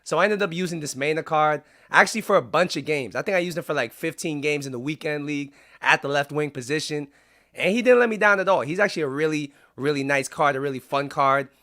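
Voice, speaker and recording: A man talking through video-game commentary in a casual, chatty way, sounding raspy because he is under the weather. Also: fairly high pitch, fast, pushed and bright. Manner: casual and chatty, with frequent "um" fillers. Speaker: YouTuber. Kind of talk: gaming commentary.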